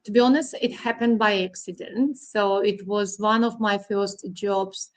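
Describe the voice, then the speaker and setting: A woman's voice: mid-range voice, fairly even intonation, a little slow. A middle-aged woman from Russia, a guest accountant, explaining finance plainly with frequent ums and uhs. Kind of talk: explaining to an interviewer.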